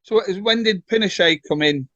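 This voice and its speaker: A man with a distinctly high voice hosts a conversational interview. He speaks informally, with some hesitation, and the sound is dry and close, without room reflections. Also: brisk, bright, forceful tone, no echo, lo-fi sound. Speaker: interviewer, host.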